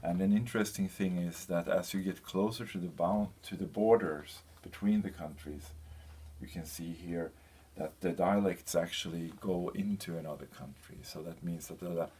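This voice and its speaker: A man presenting an informal slide lecture as a teacher, speaking in a hesitant, halting way with frequent pauses. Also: deep voice, a little mellow. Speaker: university lecturer presenting a lesson. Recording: clear background noise.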